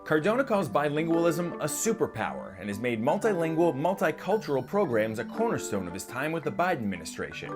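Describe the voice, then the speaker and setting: A male news reporter narrating a piece and asking interview questions in a lively, playful manner. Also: on the high side.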